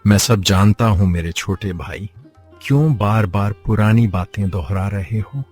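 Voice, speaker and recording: A man's voice: lowish voice, measured pace, a little mellow. A man playing a weary, humble village man in a cartoon story, grave and subdued. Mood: weary, defensive. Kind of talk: Hindi cartoon story acting. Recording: music behind the voice. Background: music.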